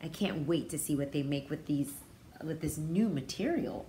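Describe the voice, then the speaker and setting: A woman's voice: deep voice, normal speed, mellow, slight background noise. A woman teacher doing a picture-book read-aloud, expressively explaining words and feelings in simple terms for young children. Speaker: pre-K teacher reading aloud.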